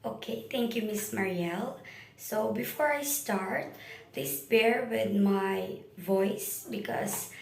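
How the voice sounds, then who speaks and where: A hoarse young woman presenting a math lesson step by step as a student reporter. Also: medium pitch, echoey room. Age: young adult. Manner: unhurried, step-by-step explaining.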